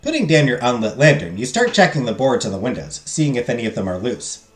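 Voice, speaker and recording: A man streaming a visual novel, loudly reading the game text aloud and chatting with his viewers. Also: mid-range voice, very animated, fast talker. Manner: loud, talks loudly. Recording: roomy echo, faint game music.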